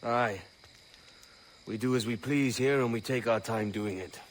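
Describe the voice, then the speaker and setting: An actor playing a bold, roguish young pirate captain in a video game, plain-spoken, cocky and defiant. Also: male voice, mid-range voice, a little slow, slight background noise, lightly acted. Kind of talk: dramatic video game acting.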